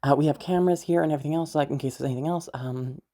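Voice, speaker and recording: A woman acting as a playful, caring party host in an intimate audio roleplay, soft-voiced and hesitant, rambling as she gently reassures the listener. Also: sits low, very fast. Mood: concerned, protective.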